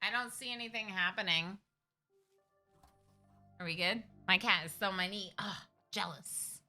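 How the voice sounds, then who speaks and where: A woman streaming a game live, chatty and excitable, talking to her characters as she plays at home. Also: mid-range voice, normal speed, soft background music. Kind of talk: live gameplay commentary.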